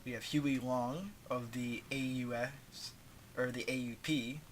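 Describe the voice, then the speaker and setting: A man's voice: medium pitch, steady tone, measured pace, slight background noise, low-quality recording. A man with a stuffed-up nose and a slightly sore throat, casually walking through a strategy game tutorial in an offhand, slangy way while clicking through menus. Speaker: gaming tutorial host.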